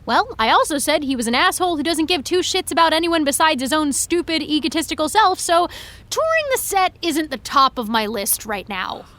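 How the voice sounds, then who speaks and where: A woman's voice: high-pitched, sing-song, brisk, a bit bright. An actress playing an upset, indignant young female fan in an audio drama, emphatic and sarcastic with her friend. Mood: defensive. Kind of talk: naturalistic audio drama acting.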